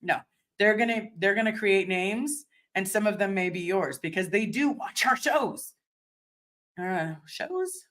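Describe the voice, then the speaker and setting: A woman with a deep voice, slightly hoarse today, hosting a casual livestream and answering viewer chat in a rambling way, often restarting and repeating words. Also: animated, a little slow, a bit bright.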